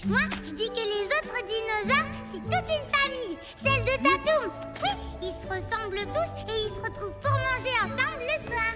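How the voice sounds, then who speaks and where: A woman's voice: extremely high pitch, expressive, normal speed, loud music behind the voice. A woman voicing a sweet, wide-eyed little child in a children's cartoon. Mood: amazed. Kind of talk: French children's cartoon dubbing.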